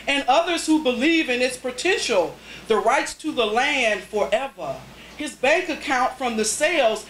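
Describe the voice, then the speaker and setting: Woman reading poetry slowly in a roomy space. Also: high voice. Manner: deliberate, dramatic phrasing with pauses between short phrases. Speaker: poet, featured reader at a poetry reading. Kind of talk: live spoken-word poetry reading. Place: indoors at a cafe poetry reading. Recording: roomy live cafe recording, faint background noise.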